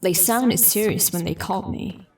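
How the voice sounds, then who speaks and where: An actress playing a concerned, businesslike detective, speaking conversationally in an audio drama. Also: female voice, medium pitch, normal speed, darkish tone, far from the mic, a little hiss or hum, lightly acted. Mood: uneasy, musing.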